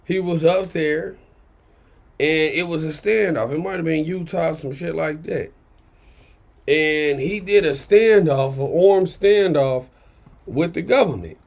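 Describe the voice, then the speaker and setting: A man hosting his own commentary show, his raspy baritone carrying a gravelly edge and sitting slightly higher than most. He talks bluntly and profanely, circling back and punctuating points with "okay?" as he rants to his viewers. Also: unhurried, a little mellow. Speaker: commentary channel host. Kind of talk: casual commentary rant.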